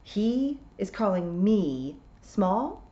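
A woman's voice: medium pitch, big pitch swings, extremely slow, warm tone, pitched above the performer's own voice. A woman voicing a small, earnest and frustrated young apprentice witch, plaintive and questioning, on a slightly dull recording. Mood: curious, put out.

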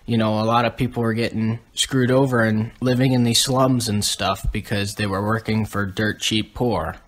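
A young man co-hosting a podcast, explaining history in a casual, lecturing way with many ums. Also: medium pitch. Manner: lecturing, lists items, frequent ums. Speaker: podcast co-host.

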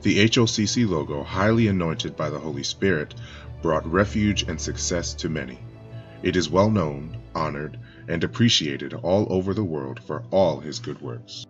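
Man, very deep voice, solemn scripted church narration, dull lo-fi sound with soft music behind. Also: normal speed. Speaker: church spokesman.

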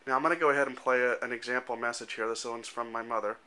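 A man in his thirties giving an informal, matter-of-fact demonstration as a software developer, explaining things step by step into a handheld camera. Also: mid-range voice, steady tone, very fast, poor audio. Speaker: software developer presenting a demo. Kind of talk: informal product demonstration narration. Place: indoor room at home. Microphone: handheld camera microphone.

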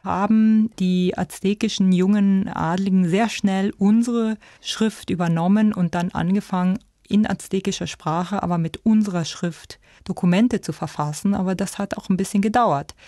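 A woman's voice: mid-range voice, fairly even intonation, moderate pace, hardly any pauses, warm tone, no echo. A woman speaking as an expert in a spontaneous, explanatory interview. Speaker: expert interviewee, ethnologist and museum director.

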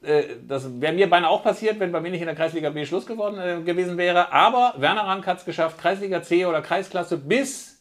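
A man's voice: high-pitched, brisk, few pauses. A middle-aged man hosting from a studio set, telling self-mocking jokes with fillers between segments. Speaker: show host. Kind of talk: studio presenting.

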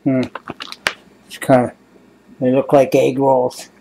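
Fifties man from Canada, tired rambling home vlog on a webcam, swears and sighs, soft hum of air conditioning. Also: mid-range voice, monotone, takes it slowly, vocal fry. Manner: mumbling, sighing, swears often, trails off. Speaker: vlogger. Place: small cluttered room indoors at night. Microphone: webcam close to face.

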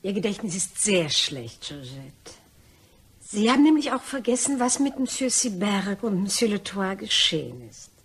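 A woman's voice: medium pitch, very animated, moderate pace, breathy edge. A woman playing a nervous, elegant young mademoiselle in a crime radio play, emotional and polite but condescending. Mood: wary, cool.

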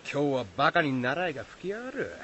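A blunt, excitable fisherman from a folktale radio play. His voice rides high and swings widely, with rough exclamations in rural Izu dialect. There is a faint layer of noise behind him. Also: fast, full character voice. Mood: grumbling. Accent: rural Shizuoka, Izu dialect. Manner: rough, exclamatory rustic speech. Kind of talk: radio drama acting of a folktale.

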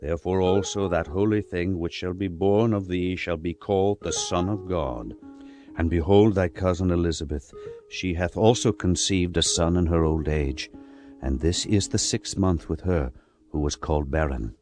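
A majestic heavenly messenger played by a man speaks in a very low register. The delivery is grave and measured, like a proclamation in an audio scripture drama, over soft music. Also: lightly acted. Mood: exalted proclamation. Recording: music behind the voice.

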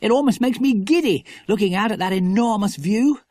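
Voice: mid-range voice, a little fast, mellow, no room sound, pitched above the performer's own voice, lightly acted. A reader voicing an eager young schoolboy. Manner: eager, exclamatory. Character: excited adventurous schoolboy. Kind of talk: audiobook character reading.

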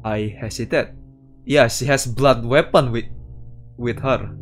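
A man streaming as a VTuber, reading a visual novel aloud and reacting live in an excitable, dramatic way. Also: medium pitch, measured pace, soft background music.

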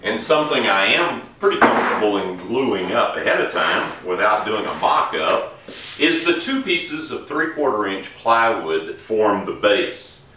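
A middle-aged man explaining woodworking steps in a relaxed, casual way while he works in a large indoor workshop. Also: on the low side, measured pace, a little hiss or hum. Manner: relaxed, explains each step while working. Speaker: woodworking instructor. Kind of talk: casual demonstration while working. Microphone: camera mic from a distance. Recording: echoey workshop, distant mic.

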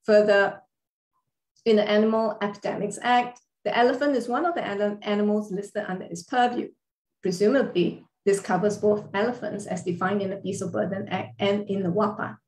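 Measured woman lecturing over a video call. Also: mid-range voice, fairly even intonation, a little mellow. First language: not Thai. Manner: measured, careful legal explanation with fillers. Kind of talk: formal online lecture over slides. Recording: roomy echo on a video call.

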